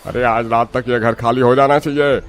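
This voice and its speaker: A man voicing an angry, heartless village landlord in a cartoon, scolding and threatening loudly. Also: medium pitch, fairly even intonation, moderate pace, faint music behind, close to an everyday voice. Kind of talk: Hindi cartoon story dub.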